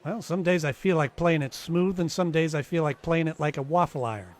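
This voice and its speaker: A man's voice: on the high side, brisk, lightly acted. A man playing a wisecracking, dryly sardonic private eye in an old-time radio detective play. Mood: wry, brash. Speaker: actor in live radio play, also narrating.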